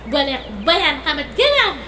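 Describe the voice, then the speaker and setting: A woman's voice: very high voice, big pitch swings, slow pace, pushed and bright, exaggerated voice. An actress playing an emotional single young woman who laughs and cries through her lines. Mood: dramatic. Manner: laughing, teary, dramatic delivery. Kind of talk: radio drama acting. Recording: echoey room with clear noise.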